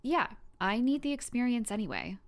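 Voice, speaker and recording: A woman's voice: fairly high pitch, animated, normal speed, natural voice. A woman playing a bossy but caring heroine in an audio drama, matter-of-fact and dry in manner. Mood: blunt concern.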